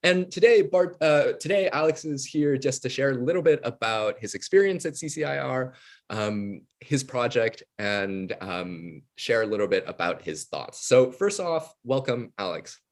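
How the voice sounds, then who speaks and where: A man's voice: mid-range voice, expressive, normal speed. A man hosting a student spotlight interview, giving introductions and asking polite, open questions in a conversational way. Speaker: interview host. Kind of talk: polite scripted-then-casual interviewing.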